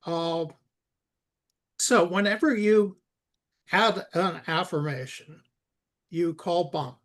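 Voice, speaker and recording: Male coding mentor, fairly high voice, unhurried and halting delivery, informal step-by-step instruction. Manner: halting, repeats words, spells things out. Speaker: software expert guiding a coding session.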